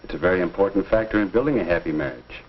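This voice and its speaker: Genial 1950s minister, very lively intonation. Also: male voice, low-pitched, slight background noise. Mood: genial, probing. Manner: avuncular, measured, folksy lecturing. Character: genial, earnest male minister counseling a young couple. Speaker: actor in an instructional film. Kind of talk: 1950s instructional film acting. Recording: dull 1950s film soundtrack.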